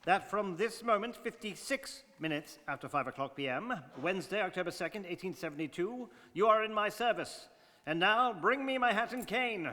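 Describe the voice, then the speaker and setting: A man playing an exacting, unflappable English gentleman, speaking precisely and formally in a radio drama. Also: sits high, lively intonation, moderate pace. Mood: cool, brisk. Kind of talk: stage radio drama acting. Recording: reverberant hall.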